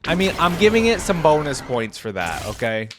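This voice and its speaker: Man with lively, swooping casual delivery. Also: on the high side, moderate pace, over music. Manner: informal, exclamatory, thinking aloud. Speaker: reaction video host. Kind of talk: casual off-the-cuff reaction commentary.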